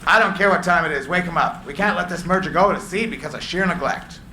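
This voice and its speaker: A man's voice: sits high, fast talker, sharp tone. A man voicing a brusque, impatient businessman barking orders during a screenplay reading. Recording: echoey room, clear background noise.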